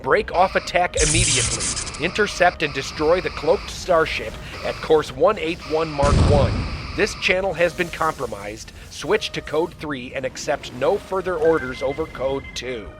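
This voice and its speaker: An actor playing a defiant, gruff alien starship captain in an audio drama, commanding and wry. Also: male voice, fairly high pitch, lively intonation, measured pace, few pauses, music in the background. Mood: brisk, commanding. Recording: faint background noise and ambience.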